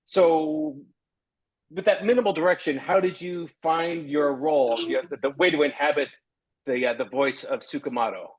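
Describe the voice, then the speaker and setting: A man hosting a casual interview and retelling events in an excited, storytelling way. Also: fairly high pitch, normal speed, husky, poor audio. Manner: enthusiastic, tells stories with quoted dialogue. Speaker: host.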